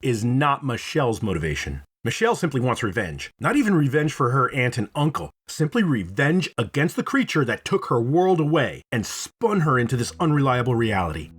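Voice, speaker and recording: A man narrating a scripted video essay in a dramatic, expressive storytelling manner, with casual swearing. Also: medium pitch, breathy edge. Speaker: video host, reviewer.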